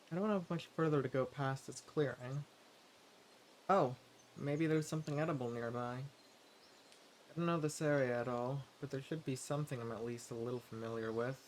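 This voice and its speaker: A man's voice: mid-range voice, slight background noise, lightly acted. Man acting a mild wandering adventurer, birdsong behind. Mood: musing. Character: mild, level-headed wandering male adventurer. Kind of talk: audio roleplay acting addressed to listener.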